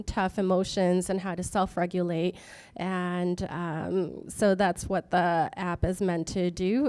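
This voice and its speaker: A woman startup founder telling her story at a panel talk, earnest and halting, with many fillers. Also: fairly low pitch, dark tone. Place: office event space with audience.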